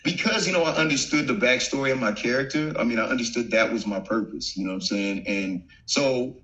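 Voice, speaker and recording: A man in his thirties from Virginia with a softened Southern accent, a former football player turned actor chatting easily as a guest, laughing often and full of fillers. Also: medium pitch, a little fast, poor audio. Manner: relaxed, laughs often, many fillers and restarts. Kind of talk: casual interview chat. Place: home, remote video call. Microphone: video call.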